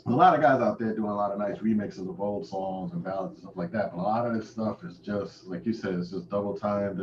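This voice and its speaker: A man's voice: fairly low pitch, far from the mic. Man, DJ guest, informal storytelling, casual chat, dull echoey audio. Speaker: DJ, interview guest. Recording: roomy, dull, noisy call audio.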